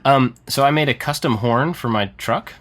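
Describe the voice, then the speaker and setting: A man's voice: mid-range voice, normal speed, a bit bright. A man hosting a podcast in casual chat, enthusiastic and self-deprecating, laughing often as he tells stories. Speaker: podcast host.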